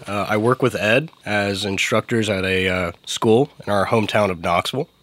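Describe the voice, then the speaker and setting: A man's voice: on the low side, normal speed, fairly bright tone, no room sound. A man in his twenties co-hosting a casual podcast, easygoing and laughing with many fillers. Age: 20s. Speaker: podcast co-host.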